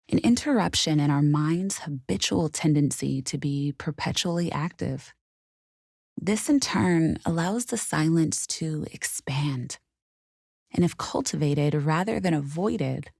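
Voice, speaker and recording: A woman guiding a meditation in a soothing, unhurried, softly spoken way with gentle pauses. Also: low-pitched, gravelly, dry recording, clean studio sound. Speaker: meditation guide. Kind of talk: guided meditation narration.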